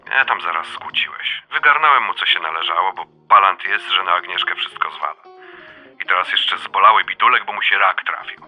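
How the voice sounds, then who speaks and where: Blunt adult son, lowish but very bright, cutting voice, dry teasing, radio-drama conversation. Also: male voice, brisk, soft background music, rough recording. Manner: colloquial, dryly teasing. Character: blunt, wry adult son, uneasy new father.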